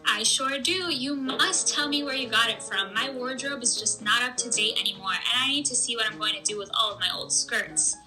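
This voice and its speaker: A woman's voice: fairly high pitch, a little fast, few pauses, bright, forceful tone, faint music behind, poor audio. A woman playing a chatty, upbeat radio host in a scripted 1920s-style show, with chirpy period banter.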